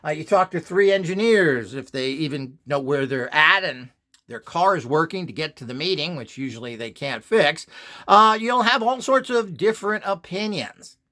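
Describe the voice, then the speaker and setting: A mature man with a somewhat high-set voice talks off the cuff as a video presenter. He is blunt and emphatic, with a scornful edge as he lays out his opinions. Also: lively intonation, moderate pace, bright, forceful tone. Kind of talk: unscripted opinionated monologue.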